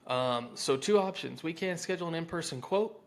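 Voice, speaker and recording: A man's voice: on the high side, lively intonation, fairly quick. Informal American man coaching on sales. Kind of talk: instructional talk with acted sample phone-script lines.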